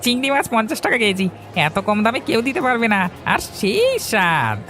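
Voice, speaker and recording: A man's voice: extremely high pitch, big pitch swings, fairly quick, sharp tone, full character voice. A man playing a brash, greedy, rude young shrimp seller in a Bengali cartoon, with a pushy, snappish hawker's manner. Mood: hawking. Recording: music behind the voice.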